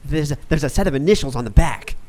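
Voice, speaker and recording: A man's voice: fairly high pitch, animated, fast talker, warm tone, close to an everyday voice. A man playing an eager, whiny sidekick to a detective in a noir radio play.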